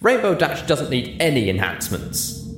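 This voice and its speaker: A man voicing a brash, tipsy, aging female pegasus pony who talks loud and swaggering. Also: on the high side, big pitch swings, normal speed, pitched above the performer's own voice. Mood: boastful. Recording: roomy sound with music underneath.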